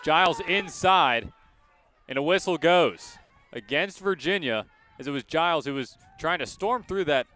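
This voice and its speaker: A man calling live college games as a radio play-by-play announcer, energetic and projecting, often raising his voice. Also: a little high. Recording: clear live sports venue background noise.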